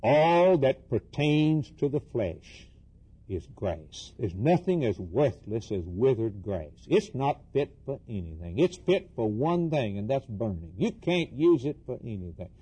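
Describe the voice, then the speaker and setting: A man's voice: medium pitch, big pitch swings, unhurried, mellow, slightly rough. A man preaching a fervent Bible sermon, emphatic and repetitive, using rhetorical questions, short declarative bursts and dramatic delivery of scripture. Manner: dramatically voices quoted scripture and dialogue.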